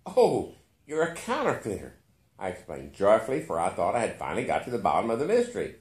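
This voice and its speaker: A man reading a literary short story aloud with expressive narration. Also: low-pitched, racing, echoey room. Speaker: story reader.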